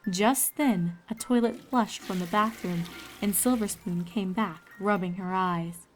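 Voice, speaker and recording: A woman's voice: medium pitch, a little slow, soft background music. A woman storyteller reading scripted fiction aloud, with ambient noise behind her.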